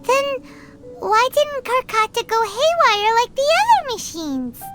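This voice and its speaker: A tiny floating fairy companion in a video game, very high and squeaky, her pitch bouncing all over. She is chirpy and curious and talks about herself in the third person. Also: big pitch swings, unhurried, loud music behind the voice, full character voice. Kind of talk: video game cutscene voice acting.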